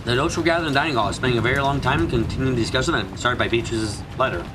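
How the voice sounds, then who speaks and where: A man's voice: mid-range voice, rapid-fire, background noise. A man narrating a mystery visual novel as a streamer, with slightly congested, mushy diction from a cold. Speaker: let's play streamer reading a visual novel. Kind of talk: dramatic narration of a story game.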